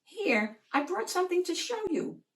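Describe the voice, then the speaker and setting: A woman voicing a spirited, proud, hockey-loving grandmother in a storybook, expressive and encouraging. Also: very high-pitched, normal speed, far from the mic, voice pushed up, full character voice. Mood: gentle, knowing. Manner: exclaiming, expressive.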